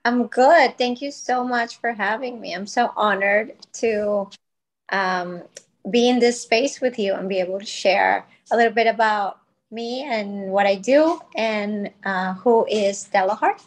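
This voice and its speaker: A woman's voice: mid-range voice, unhurried. A woman in her thirties from Colombia, a podcast guest telling her story in a chatty, grateful way with many fillers. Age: 30s. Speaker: guest, wellness brand founder. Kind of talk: podcast interview, personal story.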